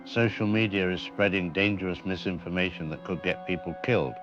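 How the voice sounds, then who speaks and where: A man playing a frank, grave disease-control doctor giving a measured, clinical briefing in an audio drama. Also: low-pitched, a bit bright. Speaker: expert interviewed on radio. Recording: dull lo-fi radio sound, music behind.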